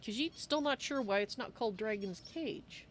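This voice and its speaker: A woman's voice: on the high side, lively intonation, moderate pace, natural voice. A woman roleplaying a courteous female cat-folk archmage in a fantasy game, speaking of herself in the third person. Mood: curious. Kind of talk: in-character game roleplay on a livestream. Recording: faint noise and game ambience.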